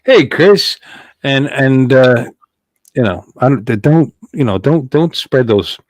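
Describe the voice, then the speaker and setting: Animated, jokey middle-aged man. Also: mid-range voice, dry recording. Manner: playful, rambling, jokey. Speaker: livestream host. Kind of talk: casual joking livestream chat.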